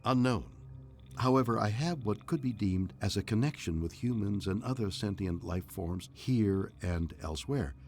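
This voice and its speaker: An actor playing an ancient, enigmatic alien entity in a game, speaking calmly and formally in measured, cryptic lines. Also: male voice, fairly low pitch, a little hiss or hum. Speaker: voice pack character. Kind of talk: scripted game dialogue.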